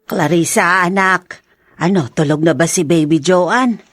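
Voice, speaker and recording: A woman's voice: on the low side, measured pace, dry recording. A woman playing a hopeful, inquisitive middle-aged mother in a Filipino audio drama. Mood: curious, gentle. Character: hopeful, inquisitive older mother of a grown daughter.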